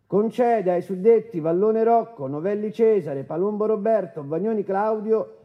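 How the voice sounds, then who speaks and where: Man acting formal judge, high voice, methodical verdict reading, courtroom stage drama, slightly dull recording. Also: moderate pace, close to an everyday voice. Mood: formal, impassive. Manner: official, declamatory, methodical.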